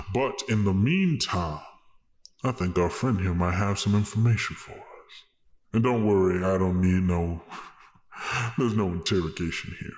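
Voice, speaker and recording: A man voicing a sinister, smug stranger in a tabletop role-play game, taunting theatrically with a folksy drawl. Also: low-pitched. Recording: echoing hall reverb effect on voice.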